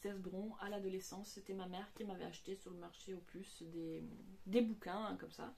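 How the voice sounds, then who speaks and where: A woman's voice: mid-range voice, fairly even intonation, moderate pace, creaky, mellow, slight background noise. Hesitant woman, non-native French speaker. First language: not French. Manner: hesitant, many fillers and restarts. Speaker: book vlogger. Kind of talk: casual book talk to camera.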